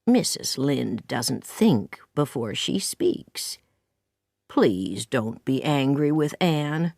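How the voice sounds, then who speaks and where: A woman voicing a gentle, patient, kindly older man in an audiobook story, speaking softly. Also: a bass voice, sing-song, extremely slow, gravelly, dry recording, clean studio sound, voice pushed down, heavily acted. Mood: gently pleading.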